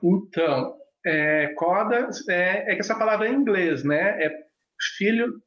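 Male pastor, sign-language native, halting and filler-heavy, echoey dull recording. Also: sits high. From Brazil. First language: Brazilian Sign Language. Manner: hesitant, many fillers and restarts. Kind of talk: personal storytelling in an interview.

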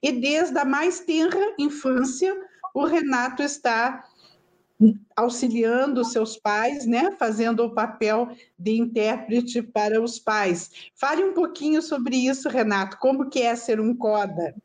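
A woman's voice: on the high side, unhurried. A woman hosting an interview, speaking in a conversational and encouraging way with affirming asides.

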